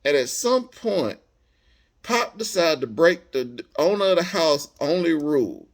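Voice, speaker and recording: An American man telling a personal story casually, in animated, slangy speech, acting out bits of dialogue as he goes. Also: on the high side, measured pace.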